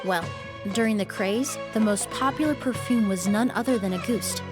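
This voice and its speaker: A woman voicing a composed young perfumer in a video game, speaking in a measured, explanatory way. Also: medium pitch, close to an everyday voice. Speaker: perfumer with medical knowledge. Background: faint game music.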